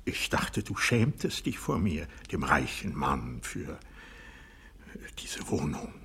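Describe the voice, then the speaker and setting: An actor playing a bitter, proud old aristocratic man in a radio drama, speaking in a husky, breathy voice that often sinks toward a whisper, deliberate and accusing. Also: extremely low pitch, big pitch swings, fairly bright tone, exaggerated voice. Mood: wistful, reproachful. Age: older. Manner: deliberate, halting, intense, accusing. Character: bitter, proud old aristocratic man, former hunter, brooding over betrayal. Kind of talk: radio drama monologue acting.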